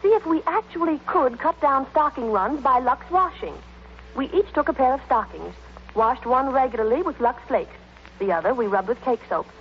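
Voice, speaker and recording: A woman's voice: high voice, animated, moderate pace. A woman with an American accent reading a scripted testimonial in an old 1930s radio advertisement. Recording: dull 1930s radio, faint hum and noise.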